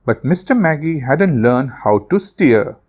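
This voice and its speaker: A man hosting a children's read-aloud, telling a storybook in an animated, theatrical way. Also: a little high, measured pace.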